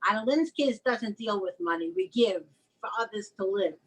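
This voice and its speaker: Husky-voiced middle-aged woman host. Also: medium pitch, lively intonation, normal speed, rough recording. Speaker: host, writing mentor. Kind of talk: casual video-call chat. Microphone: video call.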